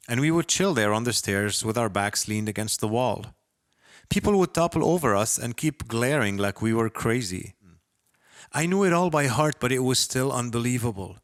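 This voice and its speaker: A man from Lebanon reading his own short story as a first-person narrator, with expressive, dramatic delivery. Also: medium pitch, no room sound, clean studio sound.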